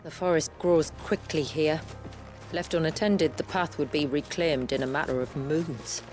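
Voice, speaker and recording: A woman's voice: low-pitched, fairly even intonation, darkish tone, soft background music, natural voice. A woman playing a grave, dutiful village elder. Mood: solemn. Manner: formal, measured, archaic phrasing. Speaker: village elder guiding travelers. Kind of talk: video game cutscene acting.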